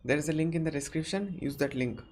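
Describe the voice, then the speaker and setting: A man's voice: a little high, quick pace, a little mellow, slight background noise. Jonge man met Indiaas accent, wat hoge stem. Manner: rambling, many fillers and restarts. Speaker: livestream host answering viewer questions. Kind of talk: casual live Q&A chat.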